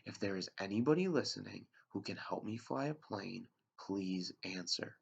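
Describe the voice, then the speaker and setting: A man's voice: on the low side, lively intonation, vocal fry, husky, full character voice. A man reading as a frightened boy pleading for help. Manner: slow, pleading radio calls for help. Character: frightened teenage boy alone at a plane's controls. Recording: dull lo-fi recording.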